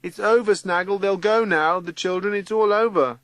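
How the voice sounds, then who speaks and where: A man's voice: high voice, moderate pace, dry recording, pitched above the performer's own voice. A man voicing a bold, insistent child with an urgent, commanding manner in a storybook reading. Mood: reassuring. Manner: yelling, urgent.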